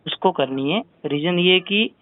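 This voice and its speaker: A man's voice: sits high, measured pace, no echo. Insistent Rajasthani man on a phone line. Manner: insistent, repeatedly arguing the point. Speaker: caller filing a complaint with a helpline. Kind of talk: phone complaint conversation. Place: telephone call. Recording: narrow-band phone call recording.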